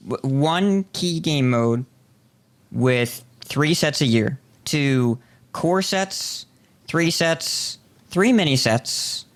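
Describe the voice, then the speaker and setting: A man asking conversational interview questions as a podcast host, deliberate and pausing between phrases. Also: fairly high pitch.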